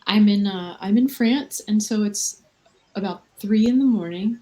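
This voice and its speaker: A woman's voice: medium pitch, a little slow, warm tone, low-quality recording. A woman in her thirties chats with fans over a video call from a hotel room late at night. She rambles loosely, pokes fun at herself and drifts from one thought to the next, in an ordinary mid-range voice. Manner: loose, rambling, self-mocking. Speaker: livestream host.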